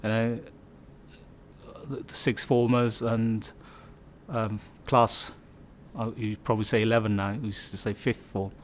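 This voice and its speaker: A man in his fifties, originally from Bangladesh, recounts his life in an unhurried, reflective way. He talks slowly and often pauses on fillers before restarting his sentences. A faint hiss sits under the recording. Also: fairly low pitch, little pitch movement. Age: 50s. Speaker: community storyteller. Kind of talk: personal life story interview.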